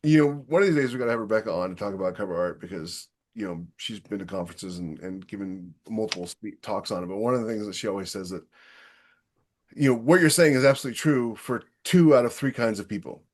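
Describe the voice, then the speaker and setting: A man's voice: on the low side, fast talker. A man hosting a casual podcast chat, giving opinionated, emphatic advice as an author.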